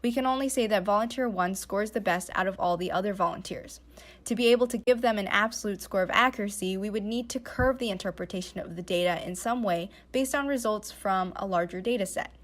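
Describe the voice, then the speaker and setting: A woman's voice: mid-range voice, brisk. A young adult woman reading a scripted narration for a student slideshow presentation. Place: voice-over recorded for slides.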